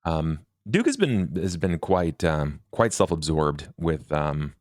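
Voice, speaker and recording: A man playing a tabletop game, talking in a hesitant, deliberate way and repeating words as he describes his character. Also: a bass voice, sing-song, a little mellow, no echo, clean studio sound.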